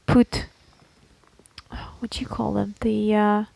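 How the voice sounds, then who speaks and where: A woman's voice: mid-range voice, little pitch movement, takes it slowly, creaky, warm tone, no echo, poor audio. A woman from Slovakia, co-hosting a casual podcast and explaining things in a chatty way, often checking that her listener follows. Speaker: podcast co-host. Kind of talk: casual conversational podcast chat.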